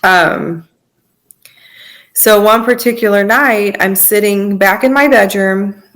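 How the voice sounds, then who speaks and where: A woman telling her personal story as a podcast guest, in a conversational, earnest and halting way. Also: mid-range voice, a bit bright.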